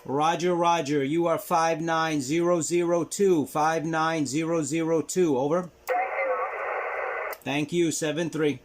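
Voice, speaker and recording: A man hosting an amateur radio vlog, talking casually and giving deliberate on-air calls. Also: a little high, steady tone. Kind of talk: casual radio commentary and on-air call-signs. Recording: very noisy, radio static and hiss. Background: loud ham radio noise.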